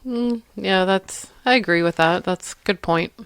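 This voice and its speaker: A woman's voice: medium pitch, moderate pace, a bit bright, clear, resonant tone, no echo. A woman co-hosting a podcast in casual chat, agreeable and sprinkling her speech with "like". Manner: agreeable, lots of "like" fillers, short backchannels. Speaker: podcast co-host.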